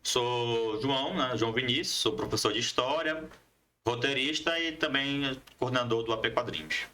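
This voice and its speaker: A man's voice: mid-range voice, normal speed, pushed and bright. A man speaking as an interview guest, explaining things in a didactic, conversational way with frequent tag questions. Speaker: guest, history teacher and comics scriptwriter.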